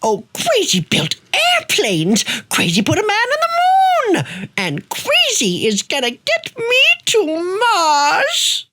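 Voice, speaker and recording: Woman doing breezy unstoppable old grandma for a radio play, really high voice, takes her time, bit of noise behind. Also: huge pitch swings, slow pace, a bit bright, heavily acted. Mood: gleeful, determined. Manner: breezy, theatrical, dismissive of worry. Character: unstoppable reckless old grandma inventor, cheerfully stubborn.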